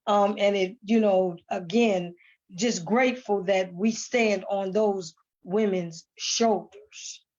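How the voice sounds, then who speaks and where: A woman's voice: mid-range voice, steady tone, slow, a little mellow. An American woman speaking earnestly and casually as an interview guest on a video call, repeating words for emphasis. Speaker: stage play cast member as guest. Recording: dull online call audio.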